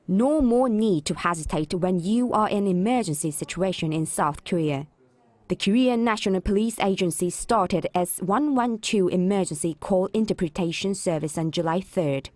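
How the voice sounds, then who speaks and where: A woman reporter reading a scripted news report in a measured, even delivery. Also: mid-range voice, dry recording.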